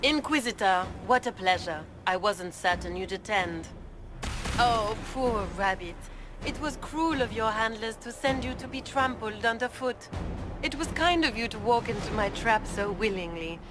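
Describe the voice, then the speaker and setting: A woman's voice: on the high side, animated, moderate pace, lightly acted. A silkily cruel noblewoman villain from a fantasy game, voiced by an actress. She is aristocratic and theatrical, talking down to her listener with smug poise while music plays prominently behind her. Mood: gloating, cruel. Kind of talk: dramatic video game acting.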